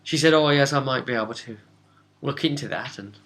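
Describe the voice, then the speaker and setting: Bright-voiced man recapping a game. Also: medium pitch, brisk. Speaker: game master recapping a role-play session. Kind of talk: casual rambling recap of a tabletop game.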